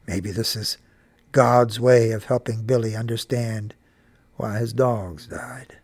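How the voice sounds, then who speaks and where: Narrator acting country father, low pitch, solemn, thoughtful, devout, storybook. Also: steady tone, slow pace, darkish tone, no echo. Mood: solemn, reflective.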